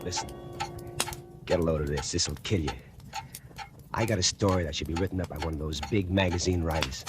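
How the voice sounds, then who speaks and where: A man's voice: deep voice, background noise. An actor playing a lazy, boastful factory worker who whines, brags and holds a grudge, in a 1940s radio drama with tough-guy slang. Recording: old radio broadcast with music.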